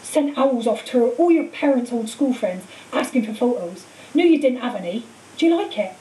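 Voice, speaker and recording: A woman voicing a huge, gruff, tender-hearted man with blunt rustic speech and emotional, drawn-out delivery. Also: fairly high pitch, animated, warm tone, natural voice. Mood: recovering, sheepish. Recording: hissy, roomy, distant microphone.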